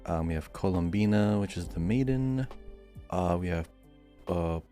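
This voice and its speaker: A man's voice: low voice, unhurried, dark tone, soft background music. A man hosting a podcast quiz, reading out questions and chatting casually.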